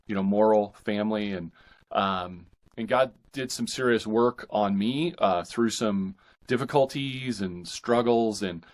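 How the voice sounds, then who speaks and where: A man in his forties with an American accent and a fairly low voice. He talks casually as a podcast guest, halting to think aloud, with open enthusiasm for what he describes. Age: 40s. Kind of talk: casual interview chat.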